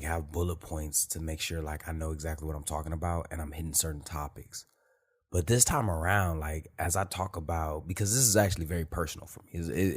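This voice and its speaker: Deep-voiced man, loose podcast talk. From Detroit, USA. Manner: loose, rambling, peppered with "you feel me" and profanity. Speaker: podcast host. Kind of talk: unscripted casual monologue.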